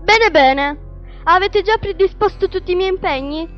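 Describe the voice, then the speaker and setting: A woman's voice: very high voice, normal speed, faint music behind. A woman playing a vain, temperamental and imperious opera diva in a radio drama, with a haughty, theatrical manner. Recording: dull, lo-fi radio drama sound.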